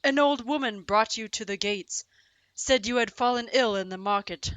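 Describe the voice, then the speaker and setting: Woman playing a bright, bold warrior sister. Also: on the high side, normal speed, no room sound, lightly acted. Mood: relieved. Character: bold, battle-loving warrior sister, protective and hearty. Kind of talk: fantasy audio drama acting.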